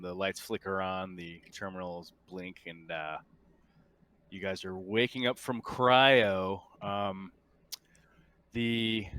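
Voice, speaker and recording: A man running a tabletop game as game master, talking in a casual, halting, informal way. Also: deep voice, a bit bright. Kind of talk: casual tabletop game narration over voice chat.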